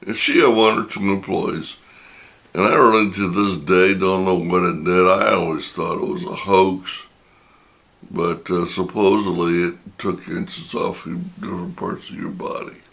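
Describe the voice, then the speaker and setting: An older man hosting his own channel, telling a personal story in a reflective, unhurried way, his voice a little raspy from talking. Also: low voice, steady tone, fairly bright tone. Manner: reflective and unhurried, many pauses. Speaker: channel host.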